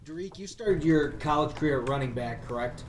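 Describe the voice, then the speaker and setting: A man's voice: on the high side, animated, fairly quick. A man, a reporter putting questions in a press conference call. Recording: echoey, distant, noisy call audio.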